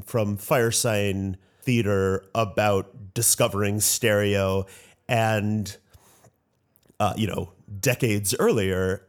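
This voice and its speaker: A man moderating a roundtable discussion, posing questions in a halting, reflective way. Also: mid-range voice.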